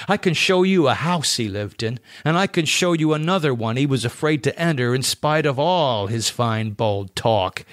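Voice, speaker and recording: A man's voice: medium pitch, a bit bright, no room sound, clean studio sound. A man voicing a fervent, scornful painter obsessed with the macabre, declaiming with contempt in an audiobook horror story. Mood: bitter, contemptuous.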